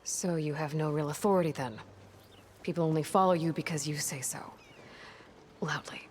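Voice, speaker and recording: Actress as a young heroine; terse, dry, skeptical, lower-pitched for a woman. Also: fairly even intonation, normal speed, slight background noise, lightly acted. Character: blunt young female hunter hero. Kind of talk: video game dialogue.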